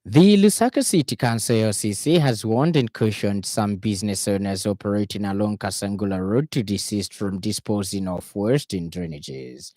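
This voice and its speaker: A male radio news reader with a Zambian accent delivers a formal, measured bulletin. His voice is low and mellow. The sound is dry and close, with hardly any room to it. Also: no room sound.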